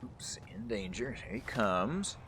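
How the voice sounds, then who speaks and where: A man gives casual gameplay commentary in a fairly low but bright, forceful voice. His pitch moves around a lot as he excitably thinks aloud through the game. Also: slow. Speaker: gaming video host. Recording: faint background noise.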